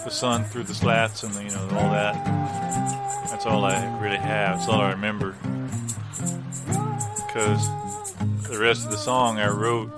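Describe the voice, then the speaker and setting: A man playing a boozy, forlorn boardwalk busker, giving a halting, deadpan comic monologue to an audience over guitar. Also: on the low side, natural voice. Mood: rambling, wistful. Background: acoustic guitar playing.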